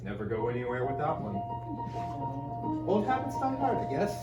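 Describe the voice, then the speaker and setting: Casting: actor as defensive yet sentimental divorced father for stage musical comedy; mid pitch, roomy distant sound. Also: wildly swooping pitch, normal speed. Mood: nostalgic. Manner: defensive, pleading, stammering when flustered. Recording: echoey distant stage pickup, prominent music.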